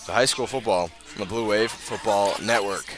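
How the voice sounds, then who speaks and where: A man doing live radio play-by-play of high school football, often halting and correcting himself as he goes. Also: loud music behind the voice, rough recording. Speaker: play-by-play sports announcer.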